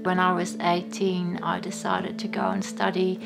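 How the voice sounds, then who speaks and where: Dutch native woman, kinda flat and creaky, telling her story, loud guitar music behind. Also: fairly low pitch, moderate pace, rough recording. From Netherlands. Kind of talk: reflective interview.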